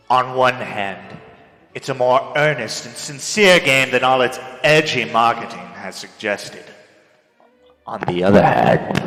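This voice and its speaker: Echoey, melodramatic man giving a dramatic reading. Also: mid-range voice. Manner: melodramatic, swinging from hushed to loud. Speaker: dramatic reader. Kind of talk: theatrical dramatic reading of a written review. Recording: echoey hall with long reverb, music behind. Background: music.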